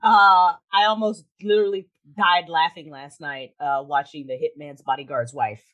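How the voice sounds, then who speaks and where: A woman talking casually as a podcast guest, with an animated, emphatic, informal way of speaking. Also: sits low, moderate pace, lo-fi sound. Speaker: podcast guest, audio drama creator. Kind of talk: casual interview chat.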